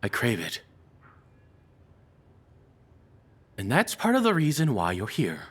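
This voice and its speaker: A man playing a nervous, lovestruck kidnapper in an audio roleplay, apologetic and obsessive, speaking in halting, rambling stammers. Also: medium pitch, huge pitch swings, slightly rough. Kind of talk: intimate dramatic audio roleplay monologue.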